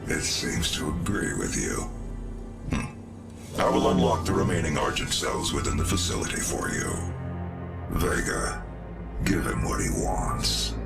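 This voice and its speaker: An actor playing a calm, calculating cyborg scientist who runs a facility, with a gravelly synthetic voice and a measured, persuasive, formal manner in a video game. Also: male voice, rumbling low, full character voice. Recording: loud game music behind.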